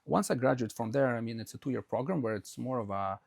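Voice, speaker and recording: Brief: Russian-born male podcast guest, quick rambling delivery, gravelly low voice, dry close sound. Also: darkish tone, no echo. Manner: rambling, many fillers and restarts. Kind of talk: conversational interview answers. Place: Quebec, Canada.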